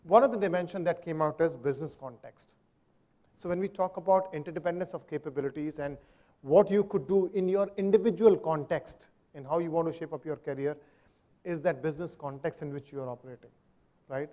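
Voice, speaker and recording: A man's voice: on the high side, a little fast, mellow. A man in his fifties moderating a conference panel in a large hotel hall, summarizing points in a structured way and checking in with the audience.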